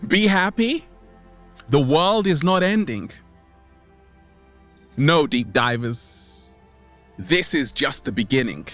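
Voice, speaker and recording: A man's voice: a little high, bright, forceful tone. A man hosting a motivational vlog outdoors, upbeat and theatrical, stretching out his words and repeating them playfully. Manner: upbeat, exuberant. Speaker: video host. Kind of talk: motivational vlog to camera, catchphrases. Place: outdoors in nature.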